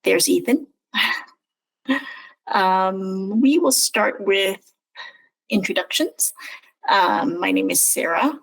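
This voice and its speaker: A woman, a charity board member, hosts a webinar over a video call, speaking slowly and hesitantly with many pauses. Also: medium pitch, very animated, gravelly, low-quality recording. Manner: hesitant, with frequent "um" fillers and a slow, careful delivery. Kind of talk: webinar introduction.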